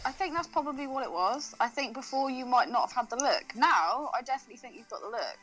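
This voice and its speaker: A woman's voice: sits high, lively intonation, fast, fairly bright tone, faint music behind, low-quality recording. A woman co-hosting a podcast in casual chat, teasing and swearing freely as she banters. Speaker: podcast co-host.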